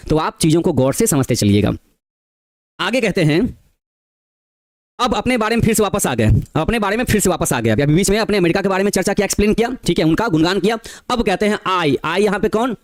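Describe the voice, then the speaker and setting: High-voiced tutor. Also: male voice, lively intonation, no echo, clean studio sound. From Bihar, India. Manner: explains poem lines in Hindi, reading English verse slowly; addresses students as "bachche", asks rhetorical questions. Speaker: teacher. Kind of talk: exam-prep class lecture.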